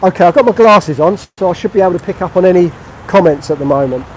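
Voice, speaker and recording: A man's voice: high-pitched, expressive, fast, a little mellow, a little hiss or hum, low-quality recording. A middle-aged man from England, chatting casually on a live-stream walking tour of a street market. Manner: chatty, friendly, narrating while walking. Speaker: vlogger.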